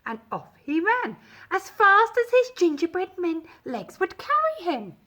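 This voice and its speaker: A woman's voice: extremely high pitch, sing-song, unhurried, bright, forceful tone. A woman reading a children's story aloud in a dramatic, emphatic storytelling style.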